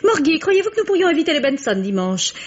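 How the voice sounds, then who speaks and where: An actress playing a bitter, defiant young wife with pointed sarcasm, in an old-style French radio drama. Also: female voice, high-pitched, very animated, fast talker, dull audio. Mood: bitter, mocking.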